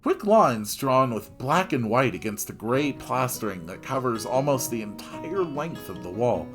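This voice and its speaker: A man reading a story aloud in an expressive narrating style. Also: medium pitch, a little slow, faint music behind.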